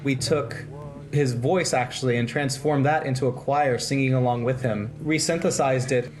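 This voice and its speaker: A man's voice: mid-range voice, fairly even intonation, normal speed. A man explaining a music tool in a plain, explanatory documentary voice-over.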